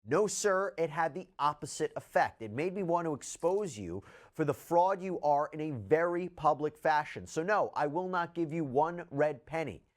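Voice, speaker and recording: A man presenting news and reading out statements with measured, deliberate emphasis. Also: a little high, expressive. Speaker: news commentator.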